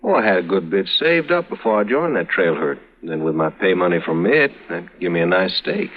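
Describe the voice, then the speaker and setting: An actor playing a smooth-talking, wounded drifter with an easy drawl, on a dull old radio recording. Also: male voice, sits low, very animated, brisk. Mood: easygoing.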